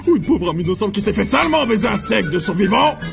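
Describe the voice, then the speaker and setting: Theatrical cartoon demon boss played by a man: brash, foul-mouthed salesman type, high voice. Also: big pitch swings, fast, creaky, over music, full character voice. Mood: salesman swagger. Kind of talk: cartoon fan dub.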